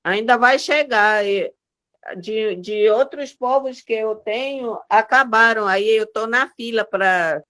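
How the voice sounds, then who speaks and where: A woman whose first language is Wanano talks slowly and with quiet pride about the crafts she sells, restarting her phrases as she goes. She is an artisan from the Amazon, heard over a video call. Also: on the high side. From Amazonas, Brazil. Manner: slow, proud, plain speech with short repeated starts.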